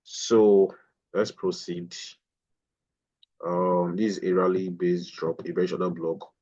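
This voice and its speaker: Low, flat-voiced man teaching trading. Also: takes it slowly, lo-fi sound. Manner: explanatory, repeats phrases while pointing at a chart. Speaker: trading instructor. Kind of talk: live online trading lesson.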